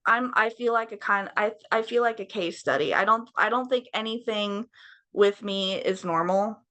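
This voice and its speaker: A young woman talking frankly in a casual interview, swearing freely, with a slightly raised, raspy voice. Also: mid-range voice, moderate pace, a bit bright. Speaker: podcast guest.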